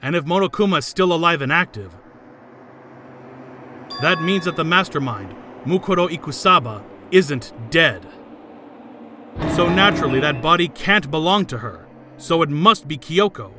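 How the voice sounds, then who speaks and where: A man's voice: on the high side, animated, normal speed, pauses often, a little hiss or hum, close to an everyday voice. A man voicing an arrogant, coldly logical elite student with haughty, clipped delivery in an anime fandub. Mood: cold, matter-of-fact.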